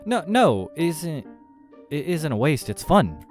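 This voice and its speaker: A man's voice: a little high, big pitch swings, measured pace, soft background music, pitched above the performer's own voice. A man voicing a polite, affable human man on a date in a visual novel, mild and accommodating. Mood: nervous cheer. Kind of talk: acted visual novel dialogue.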